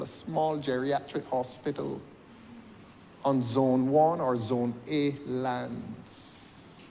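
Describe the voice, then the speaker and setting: A Barbadian man with a high voice delivers a parliamentary speech. He is rhetorical and repeats his phrases for emphasis. His voice rings with the long reverb of a large chamber. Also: vocal fry, slight background noise. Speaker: member of parliament.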